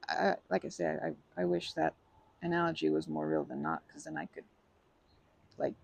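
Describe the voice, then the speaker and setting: A woman playing a timid, kind young woman in a comforting ASMR roleplay, with a creaky voice and a hesitant, stammering, apologetic way of talking. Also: low voice, sing-song, normal speed, heavily acted. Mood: wistful, earnest.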